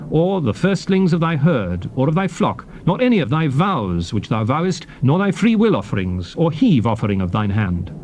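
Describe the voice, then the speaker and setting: A man narrating scripture in a formal, declamatory style, with solemn, measured and emphatic phrasing. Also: medium pitch, darkish tone. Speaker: narrator of a dramatized scripture audiobook. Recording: noisy old cassette tape recording.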